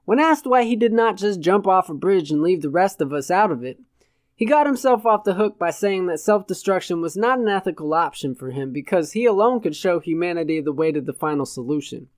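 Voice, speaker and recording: A man's voice: sits high, animated, fairly quick, dry recording, studio quality. A man narrating satirical fiction as a scripted audiobook reading.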